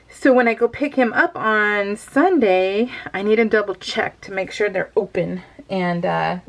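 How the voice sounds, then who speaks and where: A woman's voice: mid-range voice, slight background noise. Rambling, giggly woman crafting at home. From California. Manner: rambling, frequent laughs and fillers. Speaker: crafting vlogger. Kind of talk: casual chatty voice-over while scrapbooking.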